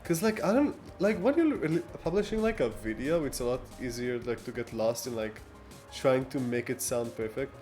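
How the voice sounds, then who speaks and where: A man's voice: mid-range voice, expressive, a little mellow. Casual male livestreamer over background music. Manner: halting, rambling delivery with frequent false starts and laughter. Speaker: livestreamer chatting with viewers. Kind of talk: casual chat, reading and answering live comments.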